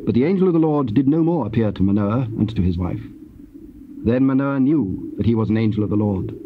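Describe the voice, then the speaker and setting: A man narrating scripture from a script in a solemn, formal, measured storytelling manner. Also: medium pitch, expressive. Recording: dull, noisy old cassette tape.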